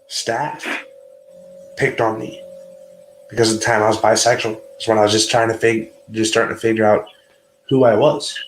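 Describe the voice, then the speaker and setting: A man's voice: fairly low pitch, little pitch movement, moderate pace, pauses often, echoey room, a little hiss or hum, low-quality recording. A man hosting a casual, heated livestream chat, earnest and often stumbling over and repeating his words.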